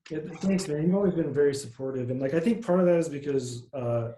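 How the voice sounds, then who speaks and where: A man with a nasal voice, a musician guest in a casual interview, talking in a relaxed, rambling way with plenty of fillers. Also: a little high, fast, roomy sound, low-quality recording.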